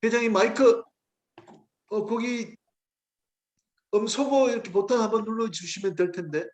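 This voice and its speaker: A man's voice: high voice, normal speed. A male professor hosting and moderating an online discussion, polite and encouraging, with many brief acknowledgements. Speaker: host and professor.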